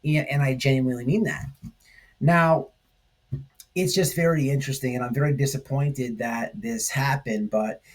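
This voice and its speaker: A man hosting a commentary stream, talking casually and haltingly, repeating phrases for emphasis. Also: medium pitch, fairly even intonation. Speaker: host of a livestream commentary channel. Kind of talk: casual rambling drama commentary.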